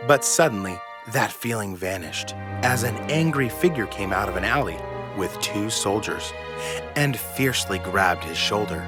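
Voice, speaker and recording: A man's voice: on the low side, animated, normal speed. A man narrating a scripted story in a dramatic, suspenseful way. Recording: loud music behind the voice.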